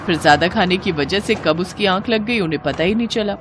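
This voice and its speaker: A woman narrating a scripted animated moral story as storyteller, in an expressive, dramatized way. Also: on the low side, brisk, noisy background.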